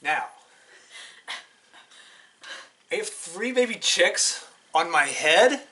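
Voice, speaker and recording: A man's voice: high voice, animated, measured pace, pushed and bright, a little hiss or hum, full character voice. A man voicing an anxious, exasperated elephant in a children's picture-book story, incredulous and emphatic. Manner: incredulous, emphatic, dramatic questioning. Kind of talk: animated children's read-aloud.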